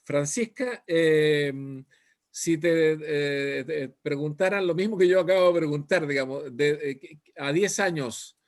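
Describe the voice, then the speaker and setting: A man's voice: fairly high pitch, a little slow. A Chilean man moderating a panel discussion, hesitant and full of fillers. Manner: hesitant, many fillers and "digamos".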